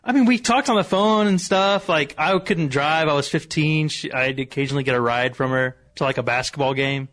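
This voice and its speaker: A man's voice: fairly high pitch, brisk, hardly any pauses, fairly bright tone, slight background noise. An American man telling a casual, confessional story in a self-deprecating manner.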